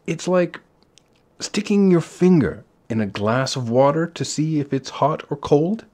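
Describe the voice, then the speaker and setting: A man as an offhand, slow-talking God. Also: mid-range voice. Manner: offhand, deadpan, reassuring. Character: casual, matter-of-fact, kindly God, ageless authority figure. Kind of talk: storybook character voice.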